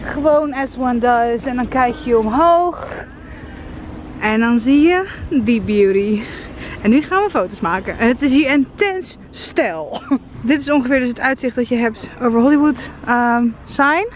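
A young woman from the Netherlands chatting casually and playfully for a travel vlog, excitable and blunt. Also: fairly high pitch, a little slow. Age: young adult. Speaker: vlogger. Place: moving car and outdoor streets and viewpoints. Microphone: handheld camera at arm's length. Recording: music over handheld vlog audio. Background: music, car and street noise.

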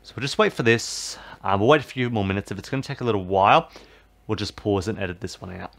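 A man's voice: fairly low pitch, fast, creaky, a bit bright. A man talking viewers through resetting a TV box, rambling and full of fillers. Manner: rambling, filler-heavy, repeats "basically" and "obviously". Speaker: tech tutorial host. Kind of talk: casual how-to voice-over.

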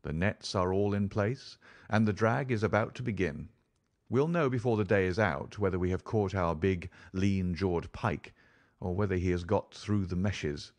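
A man's voice: deep voice, moderate pace, a little mellow, lightly acted. A man voicing a keen, commanding detective in an audiobook, assured, precise and theatrical, with dry amusement. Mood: eager, confident. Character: keen, commanding male detective, dryly amused and relishing the hunt. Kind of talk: audiobook character voice.

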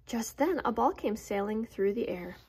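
A woman storyteller reading aloud to children in a playful, expressive manner. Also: a little high, moderate pace, a little hiss or hum. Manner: playful, expressive, with gasps and interjections. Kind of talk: animated children's read-aloud.

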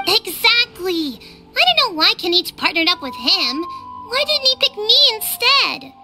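A woman's voice: very high voice, very animated, normal speed, over loud music. A woman voicing a spirited young girl, earnest and a little cheeky, in video game dialogue. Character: spirited young girl, a tribe elder's daughter.

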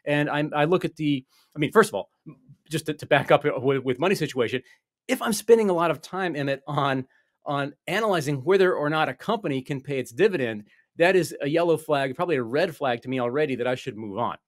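A man's voice: a little high, lively intonation, brisk, no echo, studio quality. A man in his forties, a guest on a video call, explaining investing with many restarts and fillers. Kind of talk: conversational interview. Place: small office with wall panels. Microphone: desk microphone on video call.

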